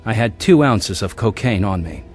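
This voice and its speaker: A man's voice: lowish voice, expressive, moderate pace, soft background music, close to an everyday voice. An actor narrating and playing a young man looking back on his wild youth in a radio drama.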